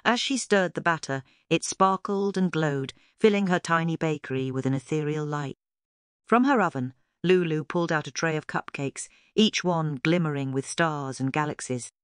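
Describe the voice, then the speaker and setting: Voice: medium pitch, moderate pace, clear, resonant tone, dry recording, studio quality. A narrator reading a scripted children's story aloud as a storyteller.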